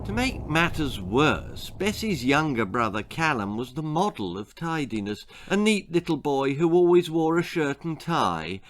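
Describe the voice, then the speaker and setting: A man with a British accent reading a children's story theatrically, relishing each word with dramatic pauses. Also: fairly high pitch, sing-song, no echo. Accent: British English. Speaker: author reading own story.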